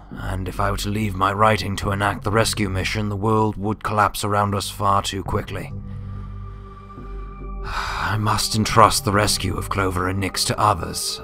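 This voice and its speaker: A man voicing a grave, scholarly old male wizard pony reading out his journal in a formal, deliberate, subdued manner. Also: on the low side, bright, forceful tone. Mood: grave resolve.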